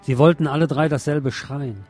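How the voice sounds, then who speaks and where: A man giving a measured, solemn scripted reading of literary prose as narrator, articulating clearly. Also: a little high, fairly even intonation, a little mellow, soft background music. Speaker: narrator and reader.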